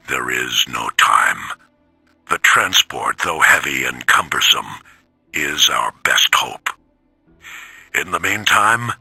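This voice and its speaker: Gravelly, solemn robot commander. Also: male voice, extremely low pitch, sharp tone, dry recording, exaggerated voice. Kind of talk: dramatic video game audio log.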